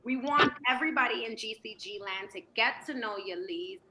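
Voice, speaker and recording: A woman hosting a casual show, talking in an excitable, playful way. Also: a little high, brisk, reverberant, slight background noise. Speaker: podcast host. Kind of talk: casual chat.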